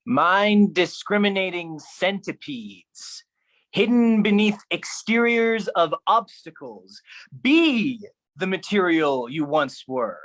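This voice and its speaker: A man performing his own writing as a spoken-word solo artist, speaking deliberately with pauses. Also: sits high, animated, fairly bright tone. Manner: slow, deliberate delivery with pauses.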